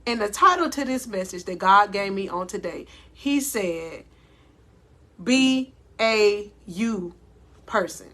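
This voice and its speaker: Woman coach with a loud bright voice, slow faith pep talk, keeps saying y'all. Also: mid-range voice, animated. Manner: loud voice by her own account; deliberately slowed, addresses listeners as y'all. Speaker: life coach. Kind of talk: faith-based motivational talk.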